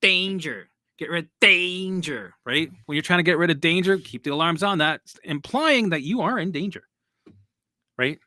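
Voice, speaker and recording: A man's voice: a little high, expressive, moderate pace, sharp tone, no room sound, clean studio sound. A man hosting a casual live stream as a recovery coach. He rambles and repeats himself, swears casually and laughs at himself as he answers viewers.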